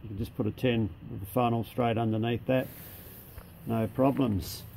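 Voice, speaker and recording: A plain-spoken Australian man at a middling pitch, with a gravelly, creaky edge to his voice. He talks a viewer casually through his machine shop, dropping in a bit of slang. He is caught on a handheld camera inside a large workshop shed, with faint background noise. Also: steady tone, normal speed, dark tone. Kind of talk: casual walkthrough narration.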